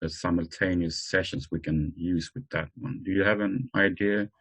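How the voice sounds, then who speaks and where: A man presenting informally in an online work meeting, speaking hesitantly with frequent pauses. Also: deep voice, fairly even intonation, fairly bright tone, breathy edge. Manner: hesitant, halting delivery with many pauses. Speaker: meeting host presenting tools. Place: online conference call.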